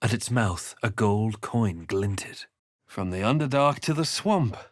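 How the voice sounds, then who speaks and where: Low, grave fantasy game hero. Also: male voice, lively intonation, a little slow, no echo, studio quality. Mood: grave, haunted. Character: grave, wary male fantasy adventurer hero. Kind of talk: scripted game narration and character lines.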